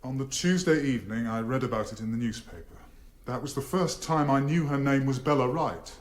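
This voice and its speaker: A man's voice: medium pitch, a little fast, lightly acted. An actor as a hesitant, frightened accused man. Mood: anxious, subdued. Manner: hesitant, subdued, formal answers. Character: dazed, frightened man on trial for murder. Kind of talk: radio courtroom drama acting.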